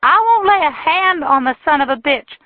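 A shrieking, furious young Southern woman, her voice pitched far up and loud as she hurls accusations. The sound is dry and tinny, like a phone line. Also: lively intonation, normal speed, no room sound. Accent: American Southern. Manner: shrill, screaming accusations.

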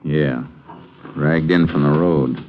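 A man acting a steady, sharp Texas Ranger detective in an old radio drama, laconic and matter-of-fact. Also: a bass voice, slow pace, narrow, tinny audio, slight background noise. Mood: calm, businesslike.

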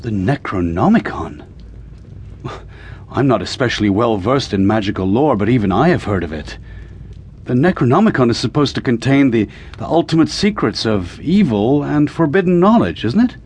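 An actor playing an educated, uneasy assistant in a radio drama, with formal, literate speech that turns hesitant. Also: male voice, medium pitch, big pitch swings, normal speed, a little mellow, slightly rough. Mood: curious, startled. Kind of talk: radio drama acting and first-person narration.

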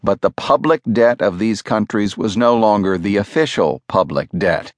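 A man reads a nonfiction audiobook in a measured, clearly phrased storytelling manner, close to the microphone. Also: on the low side, expressive, dry recording. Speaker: audiobook narrator. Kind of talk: scripted nonfiction audiobook narration. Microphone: close studio microphone.

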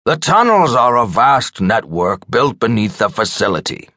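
A man's voice: medium pitch, moderate pace, no echo, studio quality, voice pushed up. Man playing a stern, controlled senior asylum doctor. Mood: even. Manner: even, clipped authority. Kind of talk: audiobook character dialogue.

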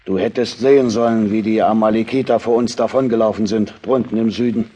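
An actor playing a cocky, bragging veteran soldier in a biblical radio play, blustering and dismissive. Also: male voice, lowish voice, steady tone, a little fast, mellow, close to an everyday voice. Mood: boastful, dismissive.

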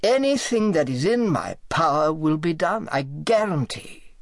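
A man voicing a large, pompous, obliging gentleman in an audiobook, with a courteous, effusive and deliberate manner. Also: fairly high pitch, big pitch swings, creaky, no echo, studio quality, pitched above the performer's own voice, exaggerated voice. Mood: eager, deliberate. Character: large well-fed pompous male office gentleman, obliging. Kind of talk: audiobook character acting.

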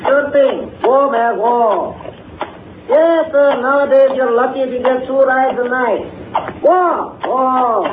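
Very high-voiced old carriage driver. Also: male voice, slow. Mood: rambling, nostalgic. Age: older. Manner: rambling, folksy. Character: talkative old horse-carriage driver, nostalgic, grumbling, deferential. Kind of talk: 1930s radio acting. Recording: tinny, noisy, echoey 1930s radio recording.

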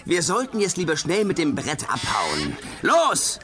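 A scheming gang boss in a children's radio drama, barking orders in a gruff, sneering way. His voice leaps wildly in pitch and he takes his time. Music plays behind him. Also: huge pitch swings, a little slow. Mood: grumpy. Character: suspicious scheming male crook boss. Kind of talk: kids' audio drama acting. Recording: studio voice over background music.

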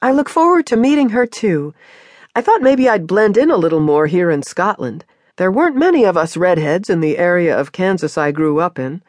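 A reader voicing an eager young American woman from the Midwest, speaking brightly and earnestly. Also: fairly low pitch, lively intonation, moderate pace, no room sound, natural voice.

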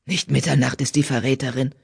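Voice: moderate pace, vocal fry, husky. A narrator voicing a fierce old female cat, a bitter former healer who accuses in a growl. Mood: accusing. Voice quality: low growl, hissing. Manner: menacing, accusatory, grim.

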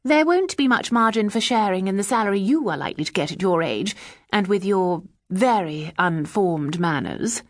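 A woman's voice: mid-range voice, expressive, moderate pace, no echo, studio quality, lightly acted. A woman voicing a stately, severe woman who runs an employment agency, speaking haughtily and crushingly. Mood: haughty.

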